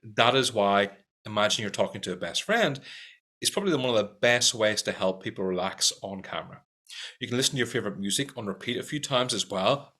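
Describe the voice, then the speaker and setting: A man's voice: fairly low pitch, sharp tone. A middle-aged man with a strong Irish accent, teaching practical tips in an upbeat talk to camera and repeating key points for emphasis. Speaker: sales trainer.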